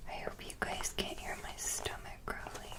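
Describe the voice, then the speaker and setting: A woman host giving an ASMR show-and-tell in a soft, breathy whisper, with a gentle, halting delivery.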